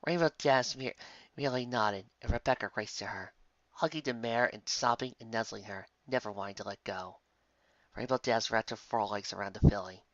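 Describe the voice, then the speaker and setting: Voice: mid-range voice, fairly quick, no room sound, muffled recording. A narrator reading fan fiction aloud in a dramatic, emotive way. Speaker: story narrator.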